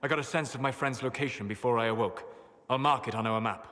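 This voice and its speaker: A man playing a solemn, learned elven mage in a video game, with a measured, formal and precise way of speaking. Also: medium pitch, fairly bright tone, slight background noise, close to an everyday voice. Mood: measured, scholarly. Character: elven mage, male, solemn, learned, fiercely protective of his friend. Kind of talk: video game cutscene voice acting.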